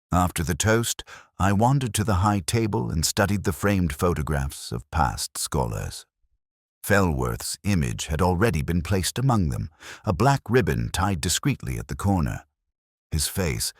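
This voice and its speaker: A man playing a brooding, world-weary older police inspector, narrating a detective drama in a measured, formal, wry manner. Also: extremely low pitch, dry recording, studio quality, full character voice. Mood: watchful, brooding. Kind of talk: scripted first-person literary narration.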